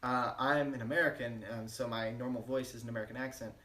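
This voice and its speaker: A man's voice: medium pitch, fast. Male co-host for a spoof interview, straight-faced and rambling, recorded far off in a roomy space. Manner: deadpan, rambling with many fillers. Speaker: channel co-host. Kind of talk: mock-serious joke interview. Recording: roomy, distant mic.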